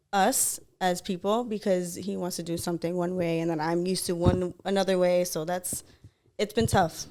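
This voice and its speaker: A woman's voice: lowish voice, a little mellow, clean tone. A young woman co-hosting a podcast, chatting casually with many fillers and frequent laughs. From United States. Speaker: podcast co-host. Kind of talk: casual chat.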